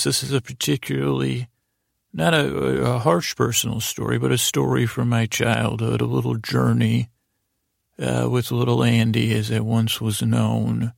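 A man hosting a bedtime story podcast in a lulling, meandering way, halting and stammering as he wanders off on tangents. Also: medium pitch, creaky, dry recording, studio quality. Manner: lulling, soothing delivery. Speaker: podcast host.

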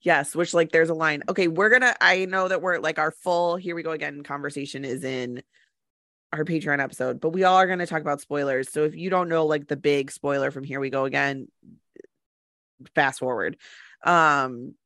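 A woman with a very low, dark-toned voice, co-hosting a chatty film podcast. She rambles along with frequent fillers, recorded close and dry. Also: normal speed, no room sound. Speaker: podcast co-host. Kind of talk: casual film chat.

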